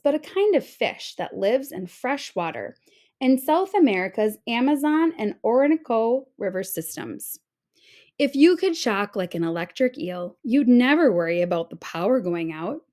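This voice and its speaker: A woman reading a children's nonfiction book aloud like a teacher, expressively, with clear emphasis on headings and questions. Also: on the high side, dark tone.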